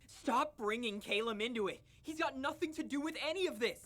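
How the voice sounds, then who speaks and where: A man's voice: very high-pitched, brisk, pushed and bright, exaggerated voice. A man playing an earnest, defensive, relentlessly upbeat boy in a visual novel, easily wounded.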